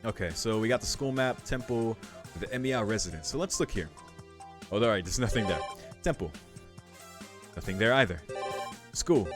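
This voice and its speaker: A young man with a fairly low voice commentates on a game as he plays. He muses informally, thinking aloud between passages of text he reads out, with faint music in the background. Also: expressive, normal speed. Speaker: video game streamer. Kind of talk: casual playthrough commentary mixed with reading game text aloud.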